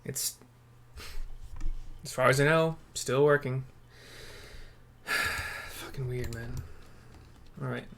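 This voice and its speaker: A man's voice: mid-range voice, fairly even intonation, slow pace, vocal fry, long pauses, a bit bright. A man giving casual, rambling commentary on his game playthrough, muttering asides and swearing now and then. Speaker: gamer narrating a playthrough.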